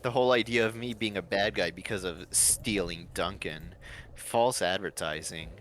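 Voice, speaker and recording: A man's voice: low-pitched, moderate pace, a bit bright, slight background noise. A man reading character lines aloud in a casual group story reading.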